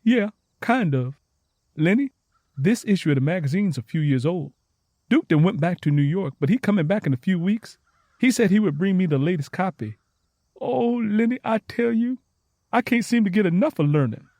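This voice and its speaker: An eager young Southern woman played by a male narrator in an audio story. Her pitch sits high and swings widely as she speaks in an earnest, folksy drawl, recorded close and dry. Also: moderate pace, dry recording. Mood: eager, encouraging. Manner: excited, earnest, folksy dialect.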